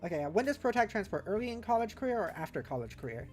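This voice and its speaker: A woman's voice: medium pitch, sing-song, fast, darkish tone. A woman livestreaming casually, thinking aloud in loose fragments and chatting informally with her viewers in a playful way. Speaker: livestreamer host. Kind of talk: casual livestream brainstorming, reading chat aloud. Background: background music.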